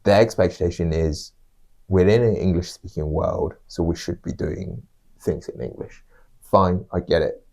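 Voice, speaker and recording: Low, mellow-voiced man chatting emphatically. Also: measured pace, vocal fry. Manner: emphatic repetition, rhetorical questions. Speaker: podcast co-host. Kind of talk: casual discussion.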